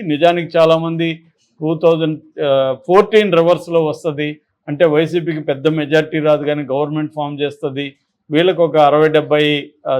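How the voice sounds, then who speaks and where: Man, slightly high pitch, political commentary, unscripted, explanatory, emphatic, repetitive. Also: a little mellow. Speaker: political analyst on a news discussion channel.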